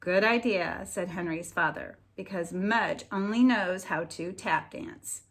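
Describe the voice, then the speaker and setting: A woman teacher reading a children's story aloud as a lesson, slightly husky, enunciating deliberately with expressive storybook emphasis. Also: lowish voice.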